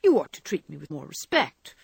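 Boyish young husband in a storybook, played by a woman, mischievous and mock-humble, lowish, slightly husky. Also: wildly swooping pitch, fairly quick, no echo, clean studio sound, exaggerated voice. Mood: mock dignity. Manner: playful, mock-dignified.

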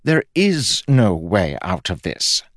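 A man's voice: on the low side, big pitch swings, slow pace, a bit bright, no echo, studio quality, pitched above the performer's own voice, full character voice. A man voicing a weary, fussy god in a small man's form, with a solemn, soothing and easily huffy manner, as in an audiobook. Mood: resigned.

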